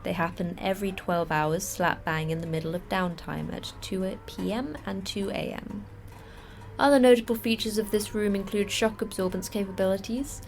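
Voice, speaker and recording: An actress playing a terse woman station manager in a sci-fi audio drama, brusque and dryly sarcastic in a deadpan way. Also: mid-range voice, normal speed, vocal fry, a little hiss or hum, natural voice. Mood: brisk, businesslike. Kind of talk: audio fiction podcast acting.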